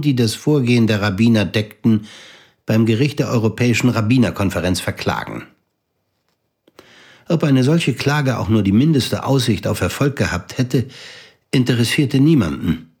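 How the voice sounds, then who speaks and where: An actor playing a composed literary narrator, delivering scripted narration in a measured, formal way for a radio drama. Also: male voice, medium pitch, animated, darkish tone, breathy edge. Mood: composed, dry.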